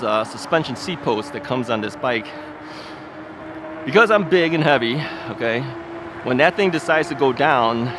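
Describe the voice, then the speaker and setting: A man talks casually into a bike-mounted camera as he rides along a city street. His delivery is rambling and folksy, full of filler words and little chuckles. Cars and street noise come through clearly behind him. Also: mid-range voice. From United States. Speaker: ebike video host. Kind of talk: casual chatty vlog while riding. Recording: outdoor street recording with traffic noise. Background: traffic and street noise.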